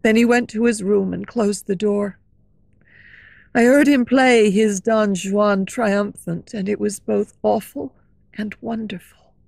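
A woman's voice: mid-range voice, steady tone, slow pace, vocal fry, a little mellow, dry recording. A woman reading as a frightened young female singer telling her lover a terrible story. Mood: recounting. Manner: earnest, fearful storytelling.

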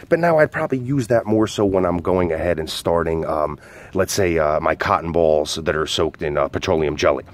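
A man's voice: low voice, slight background noise. A man, an outdoor skills instructor, casually explaining a fire starter while demonstrating it in his backyard, with lots of "uh" and "go ahead". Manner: relaxed, filler-heavy explaining.

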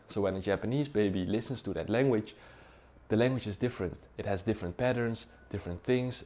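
A man's voice: lowish voice, darkish tone. A young man whose first language is Dutch, explaining at a whiteboard in a casual way and often checking in with "right?" and "okay?". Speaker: language-learning YouTuber. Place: small dim room indoors.

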